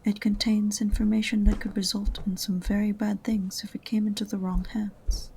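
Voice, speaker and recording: A woman's voice: mid-range voice, little pitch movement, moderate pace, vocal fry, dark tone, a little hiss or hum, natural voice, rough recording. A woman playing an aloof, sarcastic witch librarian in a fantasy audio roleplay, prim and condescending in a dry way. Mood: condescending, scolding. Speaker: voice actor in amateur audio roleplay.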